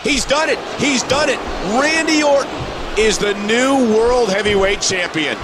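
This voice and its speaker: A man playing an excitable play-by-play wrestling announcer in a video game, with a dramatic, emphatic delivery. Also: high-pitched, normal speed, heavy background noise, natural voice. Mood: excited. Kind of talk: excited televised sports commentary. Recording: loud music under the voice.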